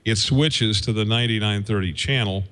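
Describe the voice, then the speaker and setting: A man with a fairly low voice talks through technical equipment as a guest engineer. He is informal and folksy, often halting as he thinks aloud and works out his explanation. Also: a bit bright. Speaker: guest, radio station engineer.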